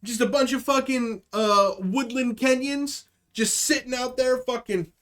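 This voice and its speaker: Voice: high voice, fairly even intonation, measured pace, a bit bright. Profane, slangy comedy streamer. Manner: profane, slangy, acts out quoted characters. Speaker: live stream comedy host. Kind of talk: casual improvised comedy storytelling to a live chat.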